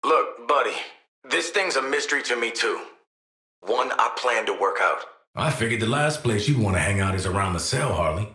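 A tough video game assassin with a wry, streetwise edge. His voice is very bright and forceful, mid-pitched, and rings in a roomy space. He is a sardonic gunman and protective dad. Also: animated, normal speed.

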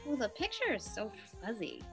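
Young woman acting high, bright teasing sister. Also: huge pitch swings, moderate pace, creaky, soft background music, exaggerated voice. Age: young adult. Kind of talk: acted play reading. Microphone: video call.

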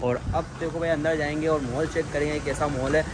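A young man vlogging casually on a handheld phone, chatty and excitable as he shows places and food. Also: fairly high pitch, a little fast, heavy background noise. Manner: chatty, excitable, calls out to children playfully. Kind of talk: casual travel vlog narration. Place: outdoors by the sea, then a mall and a restaurant. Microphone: handheld phone camera.

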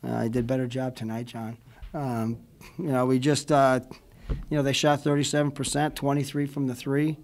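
Rambling middle-aged coach at a press conference. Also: male voice, medium pitch, level tone, normal speed, a little mellow, a little hiss or hum. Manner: rambling, emotional, many fillers like "you know what I mean". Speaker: college basketball head coach. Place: indoor press room before a logo backdrop. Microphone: table mic in front of him.

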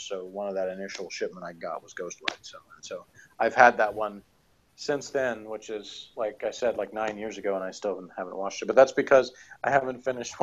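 A man's voice: lowish voice, highs cut off. A man in his forties, a podcast co-host, chatting casually from a home office in a rambling, hesitant way with many fillers. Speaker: podcast co-host reviewing audio dramas. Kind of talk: casual chat. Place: home office with bookshelves, indoors.

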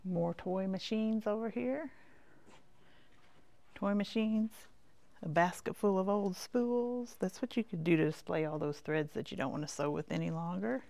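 A woman's voice: medium pitch, measured pace, creaky, dark tone. A woman giving a casual, chatty shop tour in short folksy remarks that trail off, recorded as she walks around indoors. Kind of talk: casual rambling tour commentary. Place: indoors, walking through a quilt shop.

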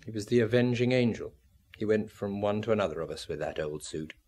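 A man's voice: lowish voice, expressive, a little fast, a little mellow. An actor in a radio drama playing a bitter, remorseful male artist, brooding and emphatic in his delivery. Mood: bitter, agitated. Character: bitter, remorseful artist recalling a wrecked youth.

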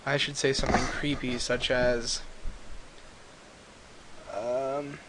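A man giving a casual, rambling software tutorial, recorded on a computer microphone a little away from him. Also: mid-range voice, little pitch movement, slow. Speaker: tutorial host. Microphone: computer mic a little far away. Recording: clearly hissy amateur computer mic recording.

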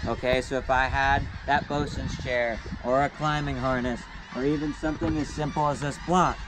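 A man's voice: medium pitch, steady tone, moderate pace, background noise. Young man teaching knots on deck. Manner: patient, step-by-step, plain-spoken. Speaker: sailing instructor. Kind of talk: hands-on knot-tying demonstration. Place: outdoors on a wooden boat deck by the water.

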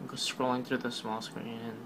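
A man's voice: medium pitch, level tone, normal speed, noisy background. A man giving a casual, rambling voice-over recap of a product keynote, full of fillers and offhand remarks. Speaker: tech video narrator.

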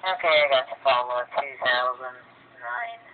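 A man in a casual, halting amateur radio exchange, heard over a radio link. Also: mid-range voice, sing-song. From United States. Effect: radio transmission. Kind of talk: casual ham radio contact. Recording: tinny radio channel, faint noise.

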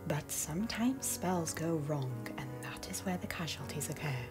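A woman voicing a solemn, caring queen and mage in an animated fantasy, speaking formally and with measured phrasing. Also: sits low, loud music behind the voice. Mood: sorrowful, reluctant. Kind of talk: dramatic animated fantasy dialogue.

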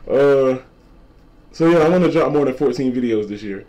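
A twenty-year-old man with a deep voice, a content creator talking casually to camera and repeating his points. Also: animated, normal speed, warm tone, rough recording. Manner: repetitive, laid-back slangy talk, repeats lines. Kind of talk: casual vlog talk to camera.

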